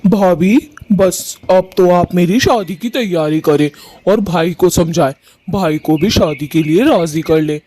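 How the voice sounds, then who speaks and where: A woman's voice: fairly low pitch, unhurried, dark tone. A woman voicing an eager, lovestruck young male bird in a cartoon story, with an earnest, pleading manner.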